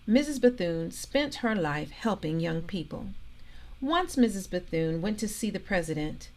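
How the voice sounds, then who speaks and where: A woman reading a children's biography aloud as a storytime host, in deliberate short phrases with expressive delivery. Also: medium pitch. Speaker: storytime reader and host, organisation president.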